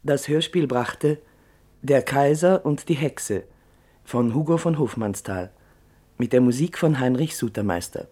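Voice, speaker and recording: A male radio announcer reads a formal script. His tone is mellow and his delivery slow and measured, with every item set apart. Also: medium pitch, lively intonation.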